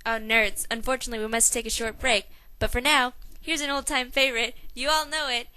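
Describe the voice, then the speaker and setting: A woman's voice: on the high side, steady tone, brisk, pushed and bright, smooth, no room sound. A young woman playing a peppy, slangy 1920s radio morning hostess, reading a script like an announcer on a noisy amateur recording. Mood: upbeat, announcing. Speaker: radio show host.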